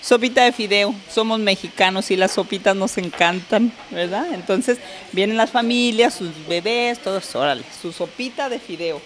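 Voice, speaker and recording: A woman's voice: mid-range voice, normal speed, a little hiss or hum. Proud middle-aged woman restaurant owner. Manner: proud, explanatory. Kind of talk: interview and guided tour. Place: restaurant, partly outdoors. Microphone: handheld microphone.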